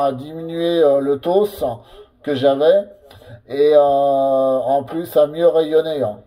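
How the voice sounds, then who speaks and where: A man operating a CB radio, chatting casually with lots of pauses and filler words. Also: fairly high pitch, mellow. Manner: hesitant, full of fillers and tags.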